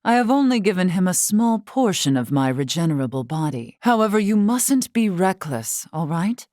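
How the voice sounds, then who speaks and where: A woman playing a wise, courtly elder of a fantasy race in a video game, speaking formally and with measured, gently teasing poise. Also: on the low side, sing-song, dry recording, clean studio sound. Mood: gently amused. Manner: formal, measured, archaic wording. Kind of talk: scripted game character dialogue.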